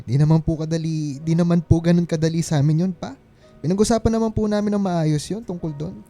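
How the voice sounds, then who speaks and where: A man performs a gentle husband and father of about forty in a radio drama. His voice is mellow and a little high, earnest and reassuring, with soft music behind. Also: fairly even intonation, a little fast, lightly acted. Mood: apologetic.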